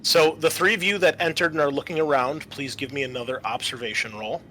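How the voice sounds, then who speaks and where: Guy game mastering a horror rpg, bright sharp voice, kinda halting, bit of music behind. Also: on the high side, fairly even intonation, rough recording. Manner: halting, pausing while describing scenes. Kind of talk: narrating and running a tabletop horror game.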